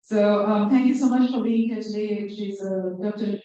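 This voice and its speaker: A woman's voice: mid-range voice, steady tone, normal speed, mellow. A woman introducing the next speaker at a conference, friendly with a nervous edge. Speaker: session moderator. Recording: echoey hall from far off, dull.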